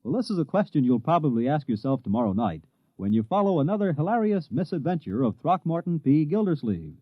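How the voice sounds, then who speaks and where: A man's voice: a little high, very animated, a little fast, no echo. A man announcing a scripted promo in 1950s radio style. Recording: dull, dry old radio recording.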